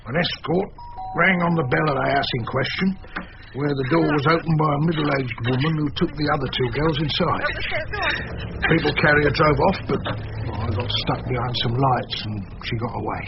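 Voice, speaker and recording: An actor playing a dour, plodding private investigator, giving ponderous official-sounding reports in a radio drama. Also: male voice, a little high, normal speed, vocal fry, highs cut off, noisy background. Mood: dour, reporting. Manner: ponderous, official report phrasing with colloquial grammar.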